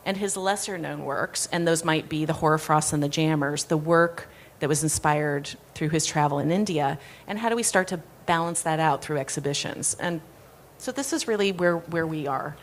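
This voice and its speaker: A woman's voice: sits low, moderate pace. A woman answering an audience question on a conference panel, thoughtful and fluent with occasional restarts. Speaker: foundation executive director. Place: conference stage.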